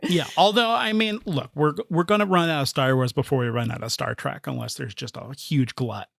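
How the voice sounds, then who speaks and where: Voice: medium pitch, brisk, gravelly, no room sound, studio quality. A podcast co-host chatting casually about fandom in a playful, emphatic way. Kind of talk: casual fan podcast chat.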